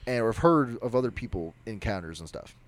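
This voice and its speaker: A young man with a naturally loud voice, telling a personal story on a podcast in a rambling, animated way. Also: lowish voice, fairly quick. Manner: animated, rambling, with frequent restarts. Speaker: podcast co-host. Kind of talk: casual storytelling.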